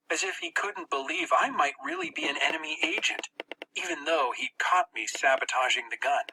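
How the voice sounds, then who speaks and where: Very bright, forceful dramatic audiobook narrator. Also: medium pitch, breathy edge, low-quality recording. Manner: tense, expressive storytelling. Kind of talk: dramatic first-person scripted narration.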